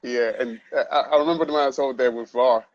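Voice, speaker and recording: Brief: London-born man, casual interview guest, stops and restarts his phrases, mid-pitched, muffled and dry. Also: steady tone, dry recording. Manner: halting, repeats words as he starts sentences. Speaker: guest filmmaker. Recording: dry, dull lo-fi sound.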